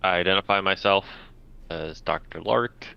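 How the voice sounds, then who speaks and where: A man describes his moves as a player in a tabletop game. His voice is very deep, smooth yet gravelly at the edges, and he phrases things haltingly and deliberately. The sound is slightly muffled, like voice chat. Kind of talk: casual in-game description of his character's actions.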